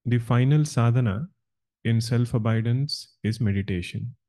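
A man leading a guided meditation as a spiritual teacher, speaking in calm, measured, deliberate short phrases. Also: medium pitch. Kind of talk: slow guided meditation instruction.

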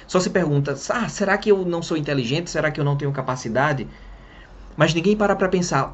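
A man's voice: fairly high pitch, lo-fi sound. A young man from Brazil giving a casual English lesson to camera, talking with his hands and stressing his points as he goes. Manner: lively, talks with his hands. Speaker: English teacher. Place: small room in front of a printed wall.

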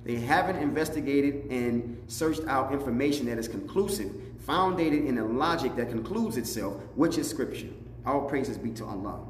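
A middle-aged man, an imam, giving a religious teaching address in a deliberate, explanatory way, repeating phrases for emphasis. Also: mid-range voice, animated. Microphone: lapel mic. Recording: echoey room, reverb, faint background noise.

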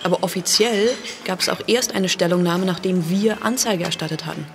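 A woman's voice: fairly low pitch, normal speed, soft background music, natural voice. Defiant, frustrated activist, insistent delivery, played by a woman. Audio drama, echoing hall. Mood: indignant. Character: defiant, frustrated female activist recounting police betrayal. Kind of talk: naturalistic audio drama acting.

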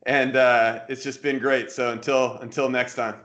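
A man's voice: mid-range voice, level tone, normal speed, fairly bright tone. Host, man, 30s, encouraging and keen, home video call, dull lo-fi audio. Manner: enthusiastic, encouraging. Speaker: podcast host. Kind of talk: interview over video call. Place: home room, indoors.

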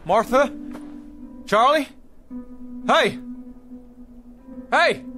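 An actor playing a desperate, stubborn pioneer husband and father in a radio drama, speaking haltingly and repeating words. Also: very high voice, lively intonation, sharp tone, faint music behind, heavily acted. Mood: bewildered, alarmed.

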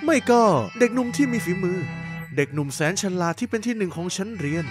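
A calm, sly cartoon rocker dad, voiced by a dubber, who needles his son with dry, clipped remarks. His voice sits at a middle pitch, and clear music plays underneath. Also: quick pace, close to an everyday voice. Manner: laconic, drily needling. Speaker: father at the poker table. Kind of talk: Thai fan dub of a cartoon.

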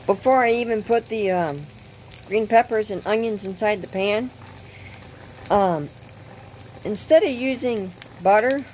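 A woman hosting her own cooking channel, explaining step by step outdoors at a grill, halting often with ums. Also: medium pitch, a little mellow, slight background noise. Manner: unhurried, hesitant with frequent ums. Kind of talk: casual cooking demonstration.

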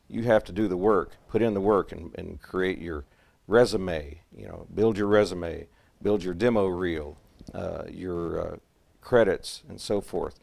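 A man's voice: sits low, creaky, darkish tone. A man in his fifties hosting a casual interview, easygoing and wisecracking. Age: 50s. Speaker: talk show host. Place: living room set, indoors.

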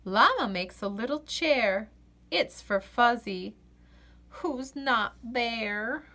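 A woman reading a children's picture book aloud in an expressive, sing-song way. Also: a little high, slow. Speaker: children's story reader.

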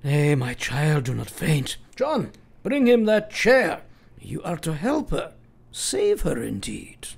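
A man's voice: a little high, wildly swooping pitch, takes it slowly, creaky, slightly rough, exaggerated voice. A man playing an eccentric, commanding professor in a radio play, speaking in an earnest, formal and emphatic way. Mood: commanding, grave. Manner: emphatic, formal, oddly phrased, counselling.

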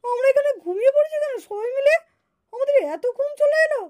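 Squeaky, whiny doll child. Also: female voice, fast talker, darkish tone. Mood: puzzled. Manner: whining, plaintive. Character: whiny, innocent little doll schoolchild. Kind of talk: puppet cartoon acting.

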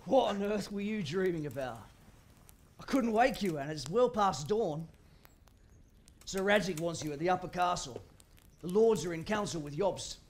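A man's voice: sits high, expressive, normal speed. A man voicing a cheeky young castle guardsman in a game, with a teasing, self-mocking manner.

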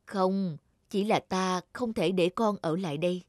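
An actress playing a mysterious, solemn foster mother in an animated fairy tale, speaking in a measured, grave way. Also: lowish voice, lightly acted.

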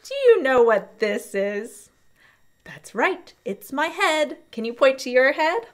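A young woman hosting a children's storytime, speaking with animated, exaggerated enthusiasm and deliberate enunciation as she teaches. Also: high voice. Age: young adult. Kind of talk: children's educational presentation.

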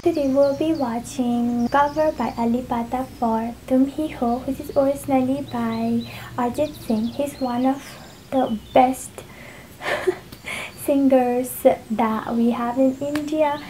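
A young woman from Nepal hosting a casual reaction vlog, soft-spoken and laughing often. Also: high voice, fairly even intonation, slow pace, a little hiss or hum. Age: young adult. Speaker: YouTube reaction host. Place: indoor room with red backdrop and fairy lights.

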